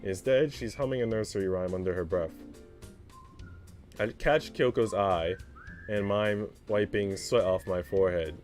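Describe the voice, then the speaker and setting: A man's voice: lowish voice, a little slow, a little mellow. Man reading a visual novel over music. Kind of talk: narration with casual reactions.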